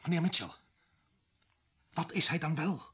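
An actor in a radio play playing a male police sergeant who is blunt and fussy, measured in questioning and bossy when grumbling. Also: a little high, breathy edge. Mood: insistent.

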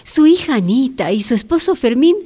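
A woman's voice: high voice, big pitch swings, moderate pace, darkish tone, slightly rough. A woman reading scripted narration for a radio drama. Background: music.

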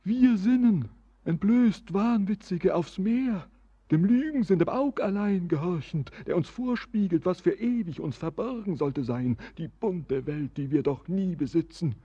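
Brooding visionary sailor for radio play. Very raspy, soft-edged tone, solemn declamation, dull recording. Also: male voice, high voice, animated, unhurried, full character voice. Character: brooding, visionary young sailor, yearning and melancholy. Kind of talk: verse radio drama acting.

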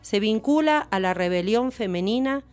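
A woman hosts a radio show in a mid-pitched voice, talking easily about books with small fillers. Faint music plays under her voice. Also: moderate pace. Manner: relaxed, with fillers, speaking warmly of a novel. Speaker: radio host.

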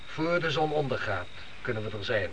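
Radio-play patriarch: solemn, strict, sharp-edged voice, faint background noise. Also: male voice, mid-range voice, expressive, moderate pace. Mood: resolute. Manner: commanding, solemn. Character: stern, devout father leading his family through the desert. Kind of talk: Dutch radio play acting. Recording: noisy old radio drama recording.

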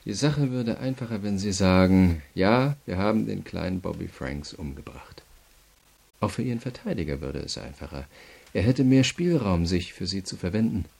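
Radio crime drama: relentless prosecutor, deep creaky voice, measured and coldly courteous, never letting the prisoner off. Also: male voice, animated, a little mellow, exaggerated voice. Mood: calculating, persuasive. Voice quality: gravelly. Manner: measured, methodical, coldly polite. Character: cool, relentless male state prosecutor pressing a prisoner.

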